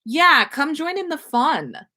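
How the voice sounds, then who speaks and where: A woman voicing excitable, tipsy young women at a birthday party in a story, with a shouting, drawn-out delivery. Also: high-pitched, voice pushed up, exaggerated voice. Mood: excited, boisterous.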